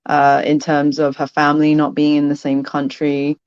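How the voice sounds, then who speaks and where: A woman from London, a podcast guest who answers thoughtfully, pausing and restarting phrases. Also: sits low, monotone, a little mellow, smooth, dry recording, highs cut off. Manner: thoughtful, hesitant, restarts phrases and laughs often. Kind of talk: casual interview conversation.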